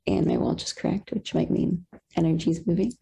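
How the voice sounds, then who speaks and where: A young woman speaks on a video call with a low-set, gravelly voice. Her tone is dark and husky, and she talks in a halting, reflective way full of small fillers. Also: fairly even intonation. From Colombian roots. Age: young adult. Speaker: satsang participant. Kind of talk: casual sharing on a video call.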